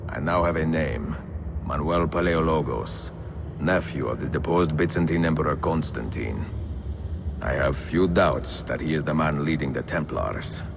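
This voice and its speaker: An actor voicing a pensive, world-weary assassin mentor in a video game, gravelly, measured and reflective. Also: male voice, extremely low pitch, background noise. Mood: purposeful. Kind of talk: dramatic game narration and dialogue. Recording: music behind the voice.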